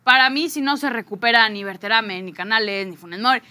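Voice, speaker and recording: Una mujer con acento mexicano que comenta deportes en un programa de charla, de forma directa y con mucha jerga. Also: female voice, fairly high pitch, a little fast, pushed and bright, dry recording. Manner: outspoken, blunt, slangy. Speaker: sports show panelist. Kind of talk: casual sports chat.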